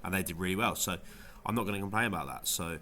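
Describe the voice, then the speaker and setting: A deep-pitched man whose voice comes out nasal from a head cold. His tone is bright and forward, and he talks through his results in a loose, rambling way, full of ums and false starts. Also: fast talker. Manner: rambling, lots of ums and restarts. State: has a cold, sounds nasal. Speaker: fantasy football video creator. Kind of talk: casual gameweek review vlog.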